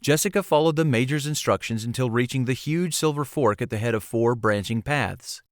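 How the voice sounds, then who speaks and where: A man narrates a fantasy audiobook in a medium-pitched voice. The delivery is scripted storytelling, recorded close with no room sound. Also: a little fast, no room sound. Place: close, dry recording space.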